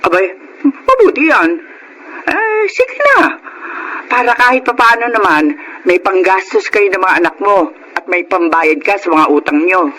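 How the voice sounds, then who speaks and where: A woman's voice: medium pitch, very animated, a little slow, bright, forceful tone, slight background noise, rough recording. A woman playing a protective, scolding older Filipino mother and grandmother in a radio drama, emphatic and indignant.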